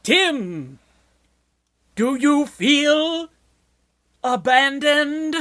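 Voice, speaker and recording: A performer playing an earnest, formal angel conscience in a robot's head in a comedy radio play. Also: female voice, high voice, expressive, extremely slow, fairly bright tone, dry recording, studio quality, full character voice.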